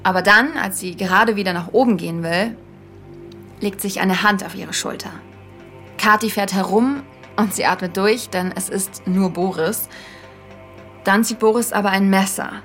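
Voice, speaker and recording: An actress playing an earnest young podcaster, speaking in a casual, slightly hesitant storytelling style. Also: female voice, medium pitch, steady tone, moderate pace, fairly bright tone, faint music behind, lightly acted. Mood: suspenseful. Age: young adult. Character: earnest young female podcaster haunted by a childhood memory. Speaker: podcast host and narrator. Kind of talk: conversational first-person audio drama storytelling.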